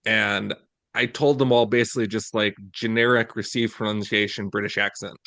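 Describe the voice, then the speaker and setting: A man's voice: lowish voice, fairly even intonation, normal speed, sharp tone, no echo. A man from the American Midwest chatting casually in an interview, wry and conversational.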